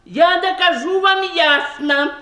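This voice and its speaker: A woman's voice: very high voice, slow, bright, forceful tone, heavily acted. An actress playing a skeptical, mocking woman in a verse radio comedy, declaiming with indignation and irony. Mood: insistent. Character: skeptical, mocking woman accusing a bride of pretence. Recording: roomy archive radio play recording.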